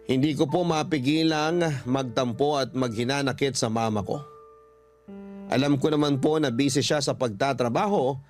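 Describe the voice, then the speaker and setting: A man narrating a sentimental first-person story as a radio host, reading it like a heartfelt letter. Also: mid-range voice. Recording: music bed behind the voice.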